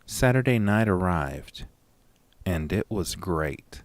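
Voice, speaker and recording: Male horror narrator, low-pitched and dry, dramatic scripted first-person delivery. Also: animated, slow, no room sound. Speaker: narrator and lead of a horror audio story.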